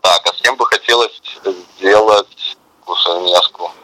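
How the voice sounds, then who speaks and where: Bright-voiced man chatting as an interview guest. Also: low-pitched, measured pace, poor audio. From Belarus. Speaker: band musician and songwriter, interview guest. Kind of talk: casual radio interview chat. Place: radio studio.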